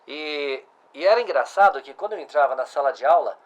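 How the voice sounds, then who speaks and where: A man's voice: a little high, expressive, brisk, dull audio. A middle-aged man, hosting a casual interview and asking follow-up questions. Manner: conversational, restarts and asks follow-up questions. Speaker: host.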